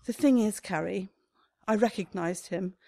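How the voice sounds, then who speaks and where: An actress playing a brisk, self-important female shop owner in a radio comedy, haughty and expressive. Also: medium pitch, gravelly, no echo, natural voice. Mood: concerned. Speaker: actor in amateur radio play.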